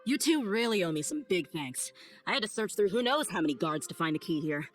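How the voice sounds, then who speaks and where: An actress playing a confident, easygoing, tough mercenary in a video game, with a breezy, informal, streetwise manner. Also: female voice, lowish voice, big pitch swings, fairly quick, loud music behind the voice. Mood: playful boasting. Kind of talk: casual video game dialogue acting.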